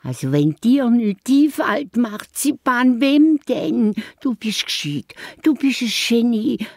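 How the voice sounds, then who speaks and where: A woman with a Swiss accent voicing a lively, naive, eager little magic mouse in a children's radio play. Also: on the high side, slow, dry recording, clean studio sound, full character voice. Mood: eager, pleading. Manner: eager, questioning, stammering in excitement.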